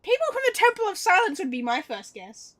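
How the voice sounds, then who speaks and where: High-voiced, animated woman game streamer. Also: brisk. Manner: exclamatory, repeats phrases, swears. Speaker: livestreamer. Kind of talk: excited casual game livestream commentary.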